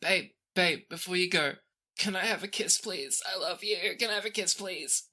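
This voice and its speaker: A woman plays a lovesick young man, high as a kite in a fantasy game. The voice is lifted and bright, pleading and rambling like a sleepy, clingy child. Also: moderate pace.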